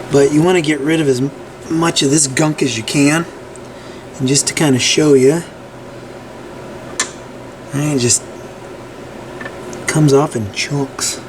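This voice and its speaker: A man's voice: mid-range voice, noisy background. A man hosting a casual repair tutorial at his workbench, talking conversationally and often checking in with "right?". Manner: conversational, frequent "right?" and restarts. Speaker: repair channel host. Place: workbench room.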